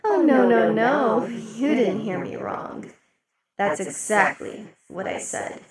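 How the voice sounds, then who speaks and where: A woman voicing a possessive, imperious demon queen in an ASMR roleplay, speaking slowly and sinking into soft whispers. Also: on the high side, lively intonation. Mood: silky, possessive. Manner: slow, velvety, dips into whispers. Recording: loud party crowd under the voice. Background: crowd chatter of a party.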